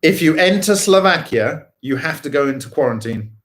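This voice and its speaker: A man's voice: on the high side, animated, moderate pace. Stammering enthusiastic man chatting. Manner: stammering, repeats words, emphatic. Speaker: host. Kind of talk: casual chat. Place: home garden setting.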